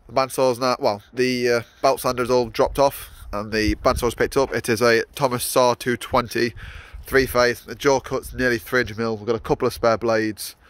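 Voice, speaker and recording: A man's voice: medium pitch, level tone, normal speed, hardly any pauses, a bit bright. A young man vlogging casually from his workshop yard, rambling with many fillers and restarts. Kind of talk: casual vlog narration.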